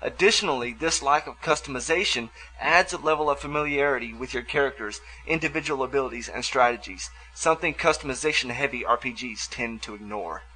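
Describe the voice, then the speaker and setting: A man's voice: mid-range voice, brisk, few pauses, pushed and bright, rough recording. A man hosting a review show, reading scripted commentary on video games with music behind him.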